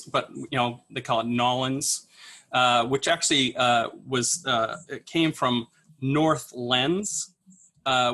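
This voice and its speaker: A man on a comedy panel makes up an explanation as he goes. His voice is medium in pitch with a bright, sharp edge, and he hesitates often. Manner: halting, full of hesitations. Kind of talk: improvised mock explanation. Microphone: video call.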